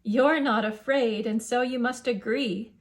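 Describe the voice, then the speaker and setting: A woman's voice: on the high side, a little slow, close to an everyday voice. A woman voicing a huge, jovial male giant who coaxes in rhyme for a children's storybook. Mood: jovial, coaxing. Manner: coaxing, rhyming speech. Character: huge many-headed male giant, jovial, coaxing, music-loving.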